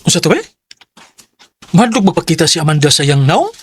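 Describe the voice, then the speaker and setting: Casting: excitable young Cebuano pal, high and very lively delivery, cautious friend in radio drama. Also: male voice, a little fast, faint music behind. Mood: suspicious. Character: young man, animated, cautious best buddy.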